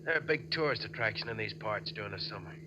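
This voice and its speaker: A man's voice: lowish voice, expressive, quick pace, background noise, close to an everyday voice. A man playing an injured, sardonic ex-convict bank robber in a 1940s radio drama, terse and dryly defiant. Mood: guarded calm. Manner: terse, dry, tough-talking. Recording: muffled old radio recording.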